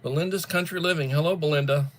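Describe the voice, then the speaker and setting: A man hosting a casual livestream, chatting to viewers while still stuffed up and coughing from the flu, in a rambling, drawn-out way. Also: a little high, steady tone. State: congested, coughing after flu. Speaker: livestream host. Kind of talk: casual chat greeting viewers.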